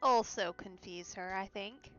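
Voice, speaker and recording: A woman's voice: mid-range voice, measured pace, fairly bright tone. A woman game streamer giving casual live reactions as she plays. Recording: dull lo-fi mic over game music. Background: game music.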